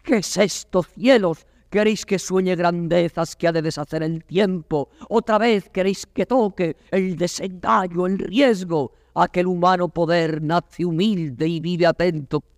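An actor playing a proud, wary captive prince in classical verse drama, declaiming with weight and emphasis. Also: male voice, high-pitched, fairly even intonation, measured pace, few pauses, no echo, clean studio sound. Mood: defiant disbelief.

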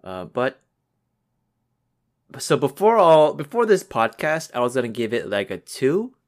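A man's voice: mid-range voice, sing-song, a little slow. Chatty young man, rambling. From United States. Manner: rambling, lots of fillers and restarts. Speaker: podcast co-host. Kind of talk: casual chat.

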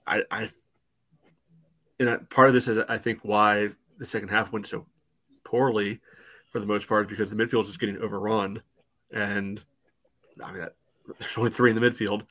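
A man's voice: lowish voice, little pitch movement, normal speed, long pauses, fairly bright tone, no echo. A man giving casual soccer analysis on a podcast, padding his points with frequent conversational asides. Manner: leans heavily on "you know" asides. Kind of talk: casual match analysis discussion.

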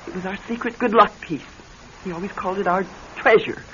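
An actress playing an earnest, wistful wronged wife, in the theatrical manner of classic radio drama. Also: female voice, mid-range voice, a little fast, natural voice. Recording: muffled old radio broadcast with faint hiss.

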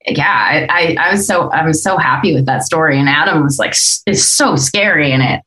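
Woman podcast host chatting casually, lowish voice, kinda echoey room. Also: moderate pace, sharp tone.

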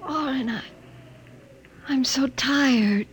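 Young heroine, vintage radio serial: very high, earnest, hushed to urgent, faint hiss of an old recording. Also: female voice, drawn-out words. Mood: weary, afraid. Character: young woman survivor emerging onto ruined Earth, tender and earnest. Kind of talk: 1950s radio drama acting.

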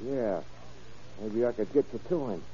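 An actor playing a shady underworld tipster in 1930s radio crime drama, slangy, furtive and insistent. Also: male voice, medium pitch, moderate pace, warm tone, husky, natural voice. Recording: muffled, lo-fi old radio recording.

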